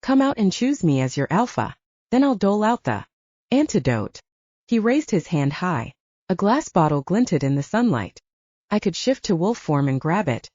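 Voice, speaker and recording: A woman narrates an audiobook story in a relaxed, dark-toned voice. Her pitch moves around a lot, and the recording is very dry and a little dull. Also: no room sound. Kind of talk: scripted fiction narration.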